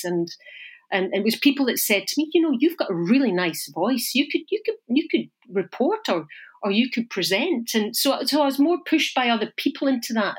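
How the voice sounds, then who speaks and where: A woman's voice: mid-range voice, low-quality recording. A middle-aged Scottish woman with a Scottish accent, talking as an interview guest in a chatty, reflective way, often restarting and repeating words. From Scotland.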